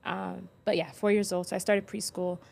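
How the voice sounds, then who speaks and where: A woman's voice: fairly low pitch, flat delivery, normal speed, creaky, darkish tone. A young woman, born in Ethiopia and raised in Florida, chatting casually about her life as the guest on her own podcast, laughing often and talking with many ums and likes. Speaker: podcast host, guest this episode. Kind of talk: casual interview conversation.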